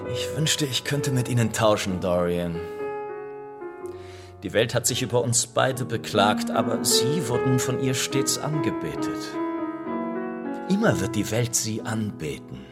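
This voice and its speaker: Casting: cynical aristocratic gentleman for radio drama, urbane and aphoristic, low-pitched with highly varied intonation. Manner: urbane, ironic, aphoristic, theatrical phrasing. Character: worldly, cynical aristocratic older friend, witty and languid. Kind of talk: German radio drama acting.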